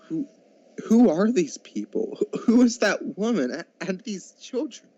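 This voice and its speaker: A man playing a frightened, pleading old miser in an amateur radio drama, stammering and halting as he speaks. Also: high-pitched, sing-song, warm tone, no room sound, full character voice. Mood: awed, uncertain. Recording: dull lo-fi amateur recording.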